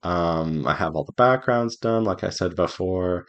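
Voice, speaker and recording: Low-voiced man, slow and halting. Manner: halting, thinking aloud. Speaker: indie visual novel developer giving a devlog update. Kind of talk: informal progress update to viewers.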